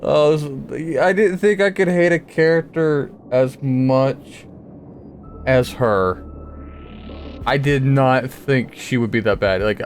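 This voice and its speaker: A man with a mid-pitched voice gives casual gameplay commentary. He is blunt and sarcastic and swears freely, with faint game music behind him. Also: slow. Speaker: gaming video creator.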